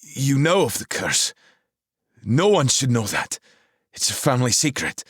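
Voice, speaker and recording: A man's voice: medium pitch, sing-song, a little slow, breathy edge, no echo, clean studio sound, voice pushed up. A man voicing a defensive, nervous young man who speaks insistently. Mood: wary. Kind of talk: audiobook character voice.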